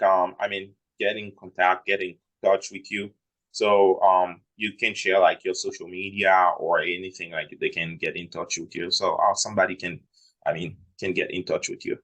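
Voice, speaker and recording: A man with a very deep voice hosts a casual interview. He speaks hesitantly, hunting for words with plenty of "um" and "I mean" along the way. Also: fairly bright tone, low-quality recording. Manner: hesitant, many "um" and "I mean" fillers. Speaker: interview host.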